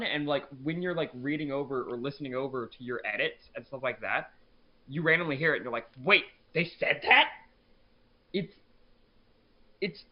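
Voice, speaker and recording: Enthusiastic man in lo-fi podcast chat. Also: mid-range voice. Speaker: podcast co-host, fandub crew member.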